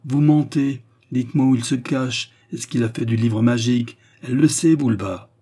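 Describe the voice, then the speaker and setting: A man's voice: medium pitch, moderate pace, dark tone, close to an everyday voice. A man voicing a stern, suspicious woman in a story who questions people in a curt, accusing, insistent manner. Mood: harsh, accusing.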